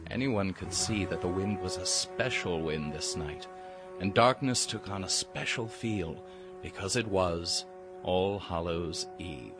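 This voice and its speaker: A man giving dramatic, scripted storybook narration in an audio drama. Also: low voice. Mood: hushed, suspenseful. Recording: music behind the voice.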